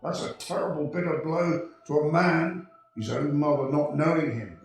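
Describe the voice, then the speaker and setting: A man's voice: a little high, normal speed, lightly acted. A man playing a stern supervising angel in a radio play, authoritative and admonishing. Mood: reproving. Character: stern, supervising male senior angel, patient but reproving. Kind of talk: 1940s-style radio play acting. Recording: echoey room with music.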